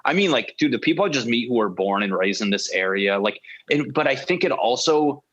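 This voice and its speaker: A male comedian telling rambling, animated stories full of fillers as a guest in a small podcast studio. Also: medium pitch, a little fast, muffled recording. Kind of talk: casual podcast banter.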